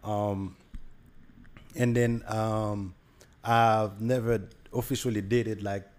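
A man's voice: fairly low pitch, little pitch movement, slow, darkish tone. A young man with a Haitian accent, talking casually as a podcast guest, with many fillers and restarts. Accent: Haitian Creole. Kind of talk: casual conversation.

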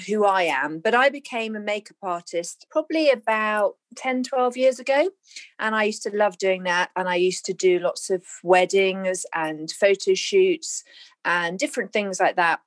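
Friendly southern English woman, late forties. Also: medium pitch. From southern England, UK. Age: 40s. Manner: friendly, rambling. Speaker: guest, stylist. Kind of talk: casual interview chat.

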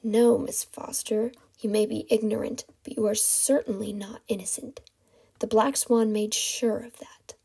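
A woman voicing a menacing, mocking male kidnapper in a hollow, breathy hissing whisper for a story reading. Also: fairly high pitch, level tone, warm tone, heavily acted. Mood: taunting menace. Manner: taunting, drawn-out menace.

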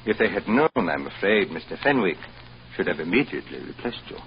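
An actor playing a haughty, needling hotel boss in an old-time radio drama, speaking formally with dry sarcasm. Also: male voice, deep voice, big pitch swings. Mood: condescending. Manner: formal, pompous, deliberate, drily sarcastic. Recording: dull, noisy old radio recording.

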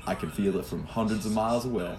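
Luźny, żartobliwy młody mężczyzna z podcastu, niski głos. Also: male voice, lowish voice, fast, darkish tone, faint music behind. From Ohio. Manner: loose, joking, playful banter. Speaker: podcast co-host. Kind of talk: casual chat.

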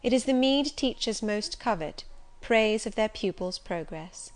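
A woman voicing a composed, polite young governess in an audiobook, measured, formal and candid. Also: fairly high pitch, lively intonation.

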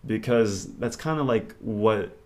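Guy rambling thoughtfully in an interview, kinda low voice. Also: lively intonation, slow pace, a little mellow. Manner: rambling and reflective, thinks aloud. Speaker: interview guest. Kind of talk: casual interview conversation.

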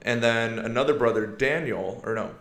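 A man's voice: medium pitch, normal speed, fairly bright tone, roomy sound. A young man in his twenties, a podcast guest, telling stories in casual chat with lots of energy, laughing often and firing off rhetorical questions. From born in South Korea, raised in Iowa and Asia.

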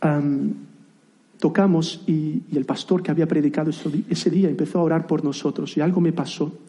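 Mellow-voiced man in his thirties preaching. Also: on the high side, steady tone, fairly quick. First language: Portuguese. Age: 30s. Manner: conversational, self-deprecating asides, frequent restarts. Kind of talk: sermon.

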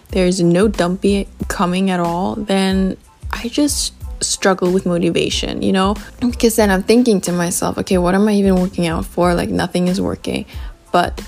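A young woman hosting a casual solo podcast, candid, informal and slangy, with a slightly raspy just-woke-up voice. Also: on the low side, a little mellow. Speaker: podcast host.

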